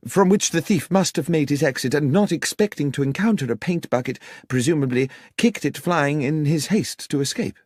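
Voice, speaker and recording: A man's voice: on the high side, moderate pace, few pauses, a little mellow, no echo, studio quality, pitched above the performer's own voice, natural voice. A man voicing an earnest village vicar who recounts events in formal, wordy, precise sentences for an audiobook. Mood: earnest, explanatory. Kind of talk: audiobook character dialogue.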